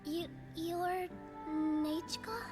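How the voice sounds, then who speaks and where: A woman's voice: extremely high pitch, flat delivery, drawn-out words, heavily acted. A woman voicing a timid, hesitant young girl in a video game, music behind.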